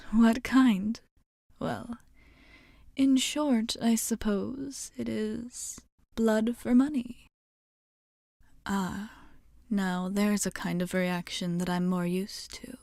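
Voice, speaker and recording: Slow, soft-spoken vampire bartender played by a woman. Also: medium pitch, no echo, clean studio sound. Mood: composed, explaining, then patient, coy. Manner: formal, measured, hesitant pauses, teasing politeness. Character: courteous, coy, unhurried female vampire bartender. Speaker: ASMR roleplay performer. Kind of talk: soft-spoken ASMR roleplay.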